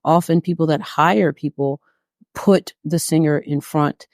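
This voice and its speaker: A woman with a gravelly, fry-edged voice hosts a podcast and backs up her guest with steady agreement. She talks casually and supportively. The recording is dry and close. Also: low voice, a little slow, no room sound. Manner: supportive, frequent backchannel agreement. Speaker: podcast host.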